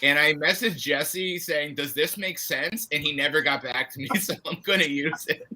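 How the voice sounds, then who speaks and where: A man's voice: on the high side, moderate pace, sharp tone. A man chatting casually and comically as a game show contestant, on slightly noisy audio. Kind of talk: casual comedic chat.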